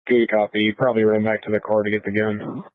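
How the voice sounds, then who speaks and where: A man's voice: fairly low pitch, level tone, fast talker, no echo. A male police officer speaking tersely over police radio. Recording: tinny, narrowband police radio audio.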